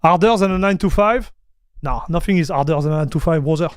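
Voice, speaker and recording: Male streamer, high voice, smooth and dry, casual chat. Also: quick pace, dry recording. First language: French. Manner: chatty, laughs often, talks straight to chat. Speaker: streamer reacting to tech news.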